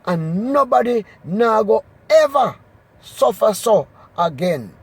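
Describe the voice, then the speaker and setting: High-pitched Jamaican man reading scripture. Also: very animated, slow pace, husky, no echo. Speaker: Bible reader. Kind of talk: expressive scripture reading in Patois.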